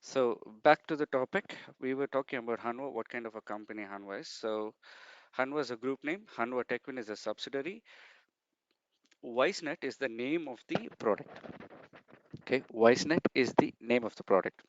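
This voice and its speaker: A man's voice: medium pitch, moderate pace, no echo. A man giving a training session over a video call, talking through the material patiently with plenty of "uh" and "okay". His voice sits in an ordinary middle register. The sound is dry and a little muffled, like laptop call audio. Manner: explanatory, uses many fillers and checks like okay. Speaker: sales trainer. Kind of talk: online training webinar.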